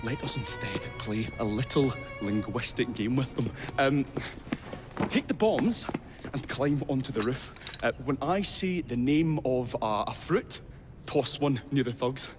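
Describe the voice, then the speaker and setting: A man's voice: mid-range voice, animated, measured pace, over music, lightly acted. An actor playing a nervous, eccentric young male inventor who hesitates with many ums and uhs, in a game cutscene. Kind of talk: video game cutscene acting.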